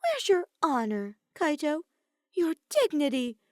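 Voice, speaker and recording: A woman's voice: sits high, wildly swooping pitch, unhurried, no echo, studio quality, pitched above the performer's own voice, exaggerated voice. A woman voicing a mocking, ominously cheerful teenage boy villain in an audiobook, with sneering, sing-song delivery. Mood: scornful. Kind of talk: character voice in fan fiction audiobook.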